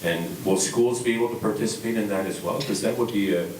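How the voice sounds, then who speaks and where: A man's voice: fairly low pitch, fast, darkish tone. A man moderating a panel, thanking speakers and asking upbeat questions. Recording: distant echoey mic with faint hiss.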